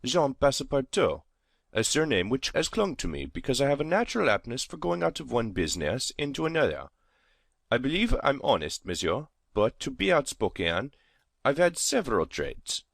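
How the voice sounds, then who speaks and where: A male actor as an eager valet of thirty in an audiobook drama. His delivery is very lively and swooping, formal and deferential, in a dry, close recording. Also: medium pitch, normal speed, creaky, no echo, full character voice. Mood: eager, courteous. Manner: deferential, formal, explaining himself at length. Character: French manservant of thirty, eager, polite, talkative. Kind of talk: dramatized audiobook character dialogue.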